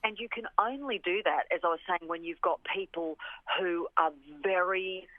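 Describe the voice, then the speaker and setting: A woman's voice: fairly low pitch, moderate pace. A woman speaking enthusiastically and emphatically as a radio interview guest, often repeating phrases for emphasis. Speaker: orchestra representative, interview guest. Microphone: telephone. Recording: tinny, band-limited phone line.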